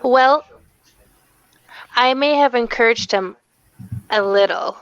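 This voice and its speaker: A woman's voice: a little high, slow, a bit bright, clear, resonant tone. A woman reading the part of a wistful middle-aged mother reminiscing fondly at an amateur table read, on a lo-fi microphone. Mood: coy.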